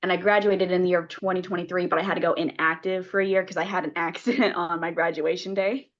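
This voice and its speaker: Low-voiced young woman, muffled audio. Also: steady tone, fast. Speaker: actor taking part in a table read. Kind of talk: casual personal chat. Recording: dull, lo-fi call audio.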